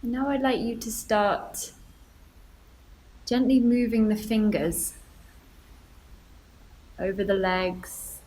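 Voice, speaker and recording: A woman leading a guided meditation, gently giving instructions with deliberate pauses. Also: a little high, fairly even intonation, slight background noise, low-quality recording.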